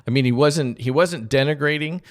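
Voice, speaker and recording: A middle-aged man, a podcast co-host, tells personal stories in a casual, halting way and acts out remembered dialogue. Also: fairly high pitch. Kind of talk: casual conversational storytelling.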